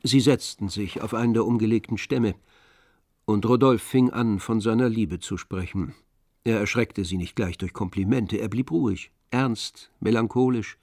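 A man narrating a literary radio drama from a script, measured and composed. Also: on the low side, darkish tone, no room sound, clean studio sound.

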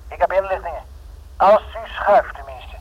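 Through a muffled telephone line in a radio play comes a shady informant. He is husky and fairly high for a man. He talks in a curt, deliberate, demanding way. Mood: curt, menacing. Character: shady, demanding male informant selling secrets by phone. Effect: telephone filter. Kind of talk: radio drama acting. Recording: muffled tinny phone line.

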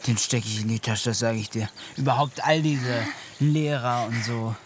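A man's voice: mid-range voice, steady tone, normal speed, lightly acted. A man playing a taciturn, tough teenage village boy in a naturalistic German radio drama, answering bluntly in few words. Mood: guarded, gruff. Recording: outdoor ambience with crickets, background noise.

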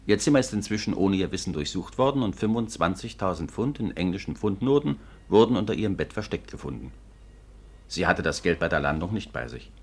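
Businesslike detective, deep pitch, polite, formal, matter-of-fact, 1950s radio drama. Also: male voice, sing-song, a little fast, gravelly, breathy edge, full character voice. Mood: calm, courteous. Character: courteous, businesslike male Scotland Yard detective.

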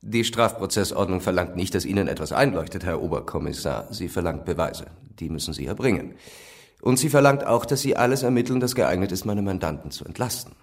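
A deep man's voice, standing back from the mic, as a self-assured defence lawyer in a radio drama. The delivery is precise, formal and deliberate. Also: animated. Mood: firm. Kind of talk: radio play acting. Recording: off-mic, slightly distant room pickup.

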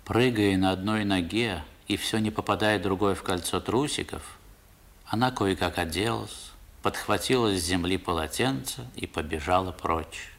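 An actor narrating a 1960s radio play as a man looking back on his boyhood, in a measured, literary, reflective manner. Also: low voice, a bit bright.

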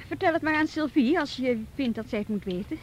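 A protective, wry policewoman in a radio drama. Her voice is fairly high, conversational and gently probing. There is clear noise behind her. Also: expressive, brisk. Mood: caring, tired. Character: friendly, protective policewoman, tired but wry. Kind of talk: Dutch radio drama acting. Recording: radio play with loud background noise.

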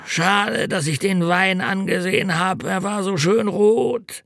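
A man's voice: high voice, takes it slowly, vocal fry, fairly bright tone, no room sound, clean studio sound, pitched above the performer's own voice, heavily acted. A man voicing a drunk, sick older road worker who groans, wails and complains in slurred speech. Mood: groaning. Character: hungover, whining older male road worker.

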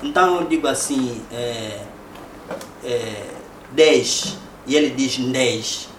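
A man's voice: mid-range voice, takes it slowly. A man with an Angolan Portuguese accent giving a public lecture in a conversational way, often ending points with rhetorical tag questions. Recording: echoey room, distant mic, noisy.

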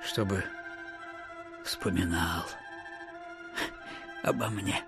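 An actor playing a miserly old landowner who mutters hesitantly to himself in an audio drama. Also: male voice, sits low, fairly even intonation, drawn-out words, gravelly, heavily acted. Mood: musing, grudging. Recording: studio drama with music behind.

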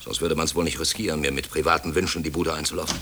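Deep-voiced, stern company director. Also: male voice, fairly even intonation, quick pace. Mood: irritated. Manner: brusque, officious, brooding when alone. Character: harried, stern company director, self-pitying and overworked. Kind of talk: German radio play acting. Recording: hissy old radio play recording.

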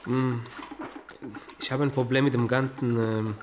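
Halting man, clearly noisy recording. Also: mid-range voice, little pitch movement. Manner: halting, searching for words.

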